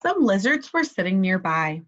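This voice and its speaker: A young woman, a teacher reading a children's story aloud in an animated way and asking her listeners questions. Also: mid-range voice, moderate pace. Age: young adult. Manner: animated, expressive. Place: small bedroom, indoors. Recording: slightly dull webcam audio.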